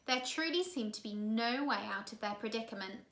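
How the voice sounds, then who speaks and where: Bright lady doing storytime narration, kinda teacher-like, echoey room. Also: a little high, expressive, moderate pace. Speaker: teacher reading a children's novel aloud. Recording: roomy, echoey room sound.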